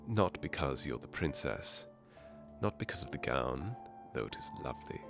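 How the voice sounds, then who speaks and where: A man's voice: very deep voice, sing-song, normal speed, gravelly, over music, heavily acted. A man playing a charming, teasing masked nobleman in a romantic ASMR fairytale roleplay, suave and playful with courtly phrasing. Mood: tender, admiring.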